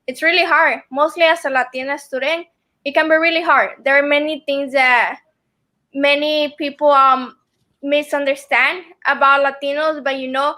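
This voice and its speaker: A woman's voice: high-pitched, steady tone, normal speed, pushed and bright. A young woman whose first language is Spanish, a student guest on a video call, speaking earnestly with many ums. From family from El Salvador. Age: young adult. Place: room at home.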